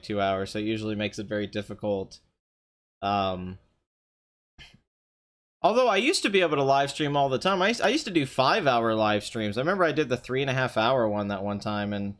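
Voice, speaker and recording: Hoarse, slightly nasal young man chatting. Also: a bit bright. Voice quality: somewhat high, nasal voice. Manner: chatty, rambling, often clears throat, reads chat aloud. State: strained, hoarse voice. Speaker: live stream host. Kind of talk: casual live stream chat.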